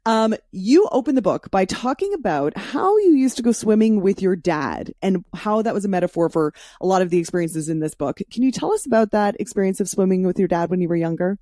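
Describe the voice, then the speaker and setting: A woman's voice: medium pitch, lively intonation, brisk, hardly any pauses, mellow, dry recording, studio quality. A woman hosting a podcast in a casual, chatty, informal way, speaking freely with swearing. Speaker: podcast host. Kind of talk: casual solo intro and interview chat.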